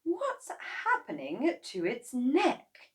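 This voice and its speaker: A woman's voice: fairly high pitch, big pitch swings, takes it slowly, pitched above the performer's own voice. A woman reading a children's story aloud, voicing a sceptical, complaining middle-aged farmer's wife. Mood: grumbling. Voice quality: tart, complaining tone.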